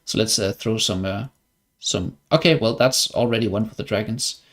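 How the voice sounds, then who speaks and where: A man's voice: fairly low pitch, moderate pace, fairly bright tone. Danish-accented man, casual game streamer. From Denmark. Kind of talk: casual livestream commentary over gameplay.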